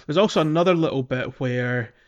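Man chatting haltingly, slightly dull audio. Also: mid-range voice, a little mellow. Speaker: podcast host. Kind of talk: casual video game walkthrough chat.

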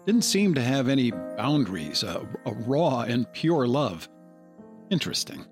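A man of average pitch leads a reflective conversation. He muses thoughtfully as he speaks, and music plays beneath his voice. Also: sing-song, measured pace. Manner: musing, thoughtful. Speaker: discussion moderator. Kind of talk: reflective discussion hosting.

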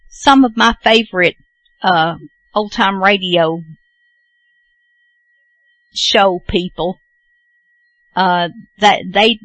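A woman's voice: fairly low pitch, fairly bright tone, dry recording. A woman hosting a radio show, chatting casually in a rambling, self-deprecating way as she introduces programs.

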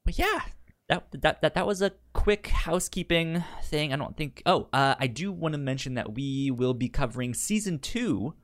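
A man hosting a podcast in casual review chat, speaking haltingly with stammered, repeated syllables. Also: a little high, animated. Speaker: podcast host.

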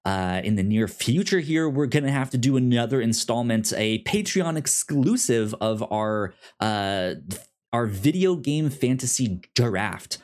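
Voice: medium pitch, expressive, unhurried, a little mellow. A podcast host chatting informally and giving announcements in a conversational way. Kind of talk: casual podcast chat with housekeeping announcements.

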